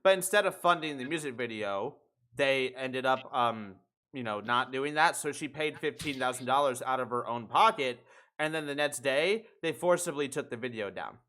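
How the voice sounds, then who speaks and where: Male livestream host, sharp bright tone, middle pitch, loose rambling sweary chat. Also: expressive, normal speed. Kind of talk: casual chat.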